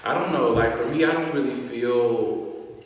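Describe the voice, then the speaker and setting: A man in his late twenties chatting casually with a friend, talking quickly and animatedly with lots of fillers. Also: mid-range voice, noisy background. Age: late 20s. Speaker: vlogger host. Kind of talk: casual chat. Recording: echoey room, voice from far off.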